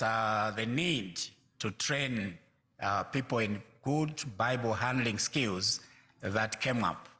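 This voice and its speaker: A man in his sixties from Zambia, a pastor speaking to a congregation in a measured way, pausing often with fillers. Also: medium pitch, sharp tone. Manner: measured, with frequent hesitant fillers. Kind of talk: church talk to a congregation.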